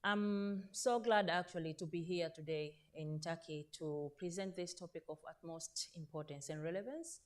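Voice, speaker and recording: Earnest older woman, low voice. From Zanzibar, Tanzania. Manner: earnest, addresses audience directly, frequent fillers and self-corrections. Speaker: panel speaker, veteran teacher. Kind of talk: conference panel talk. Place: conference stage in Turkey.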